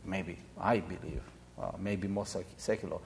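Echoey older man, low-pitched and reflective. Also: fairly even intonation, normal speed, creaky, darkish tone. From Israel. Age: 70s. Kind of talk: informal explanatory talk to an audience. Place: large echoey room or hall. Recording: reverberant hall sound.

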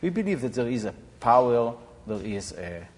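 A man in his seventies from Israel, explaining informally to an audience in a halting, reflective way. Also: mid-range voice, very animated. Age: 70s. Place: large echoey room or hall. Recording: reverberant hall sound.

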